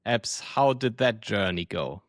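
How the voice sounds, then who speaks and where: A man's voice: fairly low pitch, expressive, a little slow, dry recording, studio quality. A man hosting an interview podcast, asking long questions and thinking aloud as he goes. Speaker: podcast host.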